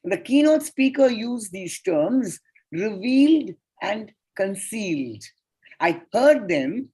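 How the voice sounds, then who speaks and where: A woman whose first language is Tamil, presenting an academic paper at an online conference in a measured, deliberate way. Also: medium pitch, very animated, dark tone, slightly rough. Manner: measured, deliberate lecturing with enumerated points.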